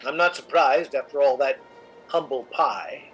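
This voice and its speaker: A man playing a suave, cynical, controlling theatre critic in a radio drama, dry, urbane and measured. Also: mid-range voice, fairly bright tone, lo-fi sound, faint music behind, natural voice. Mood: coolly knowing. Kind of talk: recreated 1950s radio drama acting and narration.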